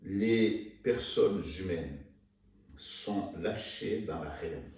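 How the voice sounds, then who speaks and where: A man from Rwanda, an author being interviewed, talking haltingly with many restarts and fillers. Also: low-pitched, darkish tone. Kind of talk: hesitant interview talk. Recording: echoey room, mic far off, faint noise.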